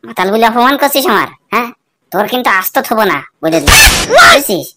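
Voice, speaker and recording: A woman's voice: fairly high pitch, huge pitch swings, fast talker. A woman voicing an abusive, foul-mouthed bald drunkard of a husband in a cartoon comedy. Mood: menacing. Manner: threatening, swearing, demanding. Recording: very noisy, clicking background.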